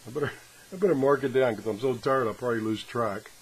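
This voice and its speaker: Man thinking aloud over clear background noise. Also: mid-range voice, fast. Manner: unscripted, self-deprecating, thinking aloud. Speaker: product reviewer. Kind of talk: casual hands-on product test talk. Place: home workshop, indoors. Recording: clearly noisy workshop recording.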